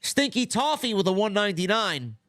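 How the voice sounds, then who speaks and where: A man's voice: high-pitched, sing-song, normal speed, fairly bright tone, no echo, clean studio sound. A man from New York hosting a casual watch-along livestream, blunt and profane, sounding stuffy and nasal from congestion. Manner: blunt, swears a lot, restarts often. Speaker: livestream host.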